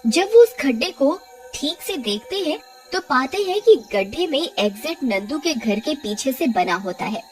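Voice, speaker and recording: A woman's voice: high-pitched, sing-song, unhurried, over music. A woman reading scripted narration for a cartoon horror story.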